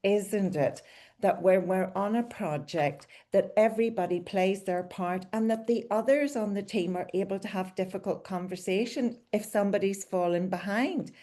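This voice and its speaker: A woman's voice: mid-range voice, moderate pace, mellow. A woman hosting an interview podcast, asking questions and adding long, affirming remarks of her own. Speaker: podcast host.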